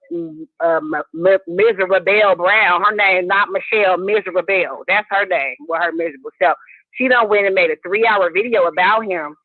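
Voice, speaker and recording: High-voiced man calling in over a phone line. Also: dry recording. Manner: rambling, animated gossip with quoted voices. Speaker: caller. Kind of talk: casual phone-in chat.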